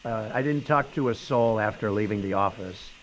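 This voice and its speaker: A man playing an evasive suspect who gives halting, hesitant denials in an acted interrogation recording. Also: lowish voice, a bit bright, a little hiss or hum, lightly acted, rough recording. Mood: evasive, uneasy. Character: evasive male suspect answering police questions.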